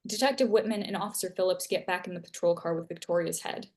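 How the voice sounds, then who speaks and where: A woman's voice: fairly low pitch, fast talker, darkish tone. A woman narrating scripted action as the stage-direction reader at a live screenplay table read.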